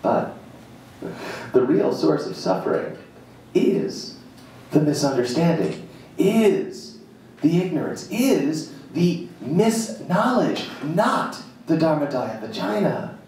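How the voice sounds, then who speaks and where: A man giving a live dramatic reading of an essay, deliberate and emphatic, pausing between short phrases. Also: on the high side, big pitch swings, a little mellow. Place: live reading at a jazz club. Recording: echoey room, far from mic, faint noise.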